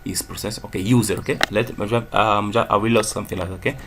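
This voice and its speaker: Man from Angola, low gravelly voice, faint hiss behind, coding tutorial, keeps saying okay. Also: steady tone, quick pace. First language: Portuguese. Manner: says "okay?" after nearly every phrase, thinks aloud while typing. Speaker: programming tutor. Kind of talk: screen-recorded coding tutorial.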